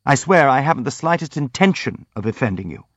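Man voicing an earnest, gentle young prince. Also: mid-range voice, very animated, fairly quick, no echo, highs cut off, pitched above the performer's own voice. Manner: polite, earnest, hastily apologetic.

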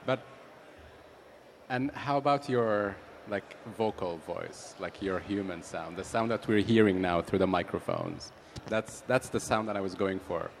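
Low-voiced man interviewer, frequent okays. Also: a little slow, vocal fry, slight background noise. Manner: encouraging, laughs often, frequent okays. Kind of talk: casual interview conversation.

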